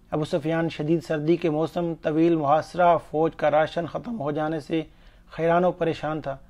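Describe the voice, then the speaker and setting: Male narrator for religious history, slightly high-pitched with a soft, mellow tone, formal and earnest delivery. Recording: dull, muffled lo-fi voice-over.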